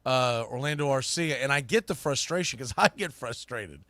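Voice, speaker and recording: A man's voice: medium pitch, lively intonation, brisk, bright, forceful tone, no echo. A man hosting live sports talk, giving opinionated commentary in an emphatic, colloquial, conversational way like a broadcaster. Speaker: sports podcast host and play-by-play broadcaster.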